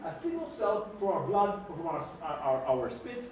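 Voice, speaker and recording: Presenter, stammers, lively pitch; echoey hall, far mic. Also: normal speed. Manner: stammering, lively explaining to children. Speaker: puppet show presenter playing a doctor. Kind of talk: live educational puppet show. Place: large indoor hall.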